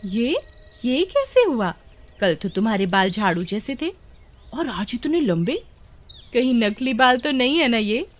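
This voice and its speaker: A woman voicing a bossy, mocking village girl who talks in taunting exclamations in an animated story. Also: fairly high pitch, unhurried, pitched above the performer's own voice. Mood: startled, suspicious.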